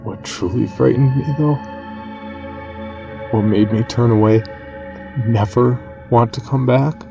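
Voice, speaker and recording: A man narrating a scripted horror story in a grave, measured manner with dramatic pauses. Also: fairly low pitch, gravelly.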